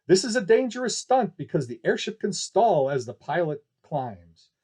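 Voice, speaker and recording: A showy flying-circus barker played by a male narrator works the crowd in an audiobook tale. His voice is fairly high for a man and husky, with grand, folksy, declamatory patter. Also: big pitch swings, normal speed, darkish tone, heavily acted. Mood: grave, suspenseful. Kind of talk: ballyhoo announcing in an audiobook story.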